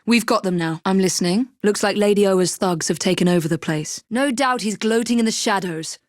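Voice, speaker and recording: A woman with a British accent voicing a poised, determined, dry-witted young female assassin in a video game, with crisp, formal delivery. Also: medium pitch, fairly quick, no room sound, close to an everyday voice. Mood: focused, composed. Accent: British English. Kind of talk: scripted video game voice lines.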